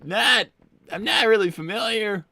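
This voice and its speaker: Bright, nervous, stammering cartoon fox boy, acted out. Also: medium pitch, a little slow, vocal fry, no room sound, heavily acted. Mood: awkward. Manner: stammering, rambling, hedging. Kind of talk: improvised in-character cartoon roleplay livestream.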